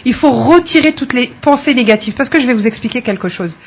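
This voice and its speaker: A woman's voice: fairly high pitch, expressive, fast. A woman who works as a coach, speaking as a guest on a radio talk show in an emphatic, motivational way. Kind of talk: radio interview.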